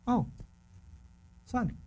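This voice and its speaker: A man voicing a gentle, patient father who speaks softly and pleads kindly with his son. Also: very slow, low-quality recording. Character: gentle loving father, patient, later elderly.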